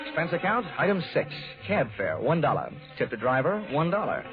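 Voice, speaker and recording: An actor playing a cynical, wisecracking insurance investigator in a 1940s radio detective drama, wry and dry. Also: male voice, a little high, huge pitch swings, brisk. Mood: wry, narrating. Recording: tinny, narrow-band 1940s radio recording, music.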